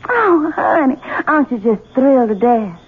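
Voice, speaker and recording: An actress playing a coquettish, scheming young Southern belle with a coy manner and a Southern US accent in a 1970s radio drama. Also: female voice, high-pitched, big pitch swings, normal speed, a little hiss or hum. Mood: gushing. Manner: coy, drawling endearments. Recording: tinny old radio broadcast, music behind.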